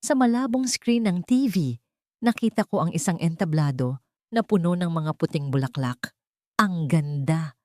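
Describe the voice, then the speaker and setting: Woman, lowish voice, dry close sound, tense first-person story narration. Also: expressive, normal speed, warm tone, no echo.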